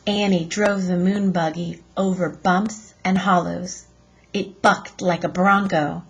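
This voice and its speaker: A woman with a husky voice reading a children's chapter book aloud in a deliberate, expressive teacher's style. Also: fairly low pitch, rough recording.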